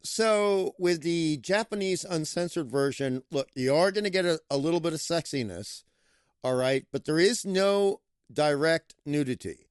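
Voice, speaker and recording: A man giving a casual, playful film review, with lively emphasis that he stretches out for effect. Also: on the high side, clean tone, dry recording, clean studio sound. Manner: playful, animated, drawn-out emphasis. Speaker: movie reviewer.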